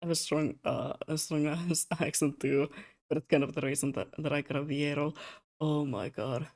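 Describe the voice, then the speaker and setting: A young adult streamer chats loosely on a streaming mic while drawing commissions. The voice sits at a middle pitch and sounds tired, with words slurring a little. The talk rambles and turns self-mocking, broken by frequent laughs. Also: fairly even intonation, a little fast, gravelly, darkish tone. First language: Russian. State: tired, slightly slurred speech. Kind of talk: casual live-stream chat.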